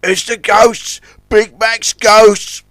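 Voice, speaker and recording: Storybook tugboat gang leader, acted by a male narrator: pushy, sneering, raised pitch, loud delivery, dry recording. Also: flat delivery, drawn-out words, no echo, full character voice. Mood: terrified. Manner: sneering, shouting. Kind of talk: children's storybook character voice.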